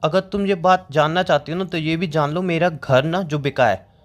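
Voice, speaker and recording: A man's voice: fairly high pitch, normal speed, natural voice. A young man playing a wronged friend in a phone-call drama, defending himself in an earnest, insistent way. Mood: defensive, hurt.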